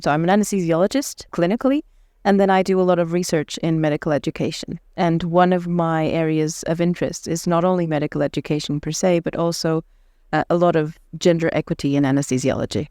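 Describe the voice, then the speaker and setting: Smooth-voiced woman, native Portuguese speaker. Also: low-pitched, fairly even intonation, fast talker, mellow, no echo, clean studio sound. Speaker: anesthesiologist guest. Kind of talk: conference podcast interview.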